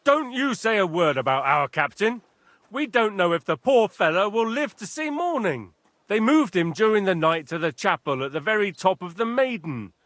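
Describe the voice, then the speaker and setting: A gruff castle guard. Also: male voice, high-pitched, very animated, normal speed, fairly bright tone, no echo. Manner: gruff, curt. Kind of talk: video game voice acting.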